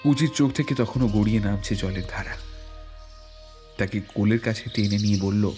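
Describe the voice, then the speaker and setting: A man with a fairly low voice reads dramatic suspense fiction as narrator. It is scripted storytelling, with music clearly playing behind him. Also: moderate pace. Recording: prominent background music.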